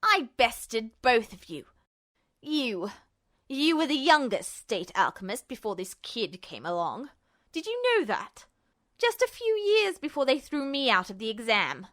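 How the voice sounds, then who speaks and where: A woman's voice: on the high side, very animated, measured pace, fairly bright tone. Woman voicing an unhinged, gloating male villain. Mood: bitter rage. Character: unhinged, bitter, smug male villain, a rogue alchemist. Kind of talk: audiobook-style character acting.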